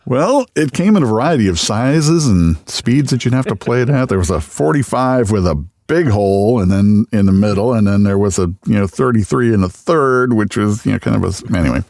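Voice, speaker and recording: Gravelly very deep-voiced man. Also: dry recording, clean studio sound. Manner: relaxed, self-deprecating, halting with many fillers. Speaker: radio voice talent and guest. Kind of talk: casual interview chat. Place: podcast studio.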